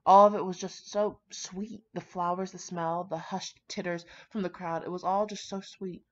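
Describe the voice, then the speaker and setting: Woman, lowish voice, casual read-aloud, chatty asides, irreverent, slangy, sweary, dull lo-fi recording. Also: steady tone, moderate pace. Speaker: host reading fan fiction aloud.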